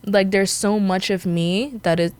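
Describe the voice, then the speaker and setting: A woman's voice: mid-range voice, steady tone, a little slow, darkish tone, clean tone, no room sound. A young woman from Guyana, a guest talking casually in an interview with lots of fillers and frequent laughs. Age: young adult. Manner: chatty, laughs often, many fillers. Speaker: podcast guest.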